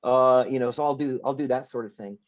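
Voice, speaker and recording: A man's voice: mid-range voice, steady tone, brisk, dull audio. A man speaking casually as a podcast guest, chatty and often checking in with little tag words. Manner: chatty, tags phrases with "right" and "you know". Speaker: book editor and author, podcast guest. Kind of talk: casual conversational interview.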